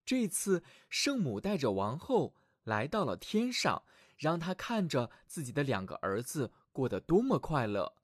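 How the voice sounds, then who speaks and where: Animated male fairy-tale storyteller. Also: fairly high pitch, unhurried, hardly any pauses, dry recording, studio quality. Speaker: children's storyteller, show host. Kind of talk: expressive scripted fairy-tale narration.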